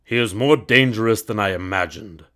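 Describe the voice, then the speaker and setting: A man voicing an imperious, wrathful emperor from a storybook, with a commanding, booming delivery. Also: normal speed, pushed and bright. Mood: menacing command.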